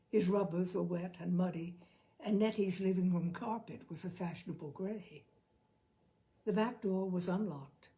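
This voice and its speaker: A woman narrating literary fiction expressively, with dramatic, deliberate phrasing and lingering pauses. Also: fairly low pitch, dark tone. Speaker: narrator, story reader.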